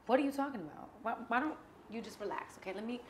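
A woman's voice: a little high, fast talker, slight background noise, natural voice. A woman playing a flirty, scheming woman with a coy, teasing way of talking, in a comedy skit. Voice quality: coy, relaxed, teasing delivery. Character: flirty, scheming woman who stirs up trouble.

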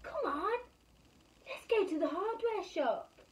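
Woman voicing a high-pitched, eager young boy. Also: expressive, slow, full character voice. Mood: encouraging.

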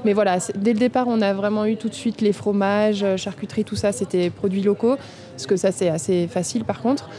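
A woman's voice: medium pitch, fairly even intonation, a little fast, dark tone, soft background music. A young woman, co-manager of a restaurant, talking in a casual radio interview on an outdoor terrace, with many fillers and restarts. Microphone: table mic on stand.

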